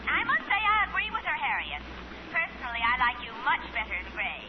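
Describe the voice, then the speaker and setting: A woman's voice: a little high, huge pitch swings, quick pace. A woman playing a chatty, stubborn, opinionated mother of a grown daughter in a 1940s radio sitcom. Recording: muffled, noisy 1940s radio recording.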